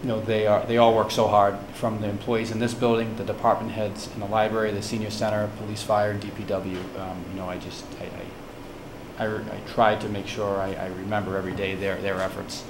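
Town administrator, man, low voice, monotone, brisk; table mic in an echoey hall. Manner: earnest, fast, with many restarts. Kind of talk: official report at town meeting. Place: town hall meeting room. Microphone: table microphone. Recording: echoey room with hiss.

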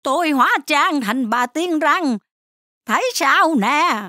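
A woman voicing a cheerful grandma shark in a children's cartoon, animated and patiently explaining. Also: high voice, takes it slowly, dry recording, studio quality, full character voice. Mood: playful. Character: cheerful grandma shark dressed as tooth fairy, instructive.

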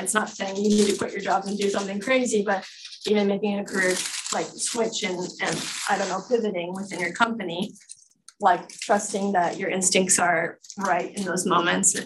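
A woman's voice: medium pitch, background noise. Chatty woman on a video call. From Belgium. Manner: chatty, filler-heavy, many "like"s. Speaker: guest speaker, Olympic triathlete. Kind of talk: casual talk answering questions.

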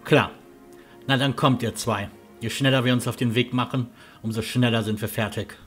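A man's voice: mid-range voice, fairly even intonation, moderate pace, fairly bright tone, faint music behind, natural voice. A man playing a friendly, helpful and somewhat hesitant castle huntsman in a fantasy audio drama.

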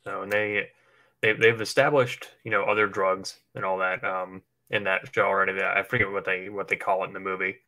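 A man with a fairly low voice and a bright, forward edge. He talks like a livestream co-host in casual, rambling conversation, informal and loose in his wording. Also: level tone, a little fast, poor audio. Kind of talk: casual chat speculating about films.

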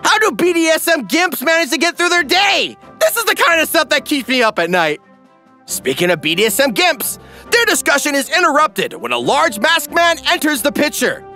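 Male comedy narrator, high and bright, theatrical emphasis and wry asides over a music bed. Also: big pitch swings, moderate pace. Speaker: recap narrator.

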